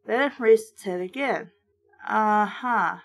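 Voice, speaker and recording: A woman with a fairly high voice narrates a storybook and comments on it as she goes. Her delivery is casual and blunt, with sarcasm and free swearing. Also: a little slow, a bit bright. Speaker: narrator and commentator reading a book aloud. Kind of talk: storybook read-aloud with casual, irreverent commentary.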